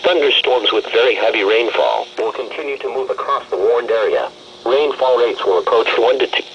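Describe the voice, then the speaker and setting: A man's voice: medium pitch, fairly quick. A man's synthetic, formal and impersonal voice reading a scripted weather warning bulletin on the radio. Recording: noisy, muffled weather radio broadcast.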